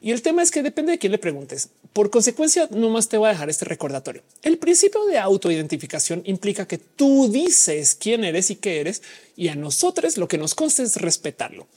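Husky chatty woman, mixed Mexican-Colombian accent. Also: medium pitch, mellow. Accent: mixed Mexican and Colombian Spanish. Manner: casual, chatty, explains at length with slang. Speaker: livestream host. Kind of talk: live chat reading audience comments.

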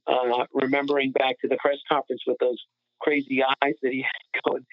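A man's voice: mid-range voice, no echo. A man giving blunt, critical opinions in casual sports talk, heard over a tinny, narrow line. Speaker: podcast panelist.

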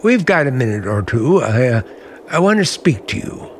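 An actor playing a charming but menacing uncle in old-time radio drama, with smooth, hesitant, genial talk that masks a threat. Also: male voice, medium pitch, sing-song, normal speed, slight background noise. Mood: hesitant, affable. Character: charming, menacing uncle hiding a dark secret.

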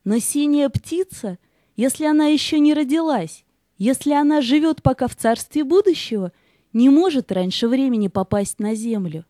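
A gentle, wise spirit of light acted by a woman from a fairy-tale play. The voice is fairly high and mellow, soft and solemn, in a dry recording. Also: animated, moderate pace, no room sound. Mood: reassuring.

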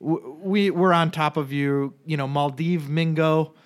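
A man's voice: a little high, fairly even intonation, measured pace. A man co-hosting a casual podcast chat, joking and rambling with frequent restarts. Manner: jokey, rambling, restarts often. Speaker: podcast co-host. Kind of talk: casual banter.

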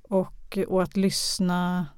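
A woman's voice: fairly low pitch, monotone, darkish tone, smooth, no room sound, studio quality. A woman being interviewed as a radio drama professional, speaking conversationally and hesitantly as she searches for her words. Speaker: radio drama director or producer, interview guest. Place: close, dry podcast recording.

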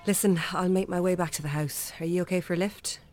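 A woman's voice: on the low side, steady tone, quick pace, darkish tone, lightly acted. A woman playing a polite, sympathetic Irish mourner in a naturalistic radio drama. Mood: sympathetic, courteous.

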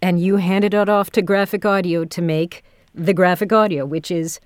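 A woman's voice: lowish voice, fairly even intonation, moderate pace, clear, resonant tone, no echo, studio quality. A woman hosting a podcast, chatting casually with her guest in an interview. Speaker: podcast host, audio drama director.

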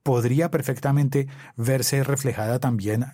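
A man's voice: medium pitch, fairly even intonation, a little slow, warm tone, dry recording, studio quality. A man with a Bogotá Colombian accent hosting a conversational podcast interview, telling things in a reflective, storytelling way. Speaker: podcast host.

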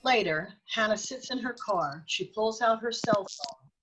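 A woman's voice: on the high side, lively intonation, unhurried, lo-fi sound, background noise. A woman narrating a scripted radio drama.

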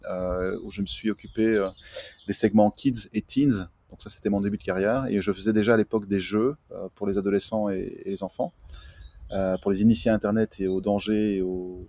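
A man's voice: fairly low pitch, warm tone, no room sound. A man in his forties from Belgium, talking casually and explaining things as a podcast guest, with English marketing terms mixed in. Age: 40s. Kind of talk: casual interview talk. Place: outdoors, remote connection.

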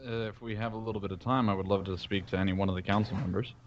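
A man's voice: fairly low pitch, rapid-fire. A man playing in a tabletop game, chatting casually and animatedly. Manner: animated, apologetic and laughing. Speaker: player. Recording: dull lo-fi recording with faint noise.

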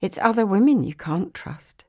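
A woman's voice: medium pitch, expressive, moderate pace, mellow, voice pushed up, natural voice. A woman reading an audiobook, voicing a tired young mother who is a frank big sister. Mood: weary, reflective.

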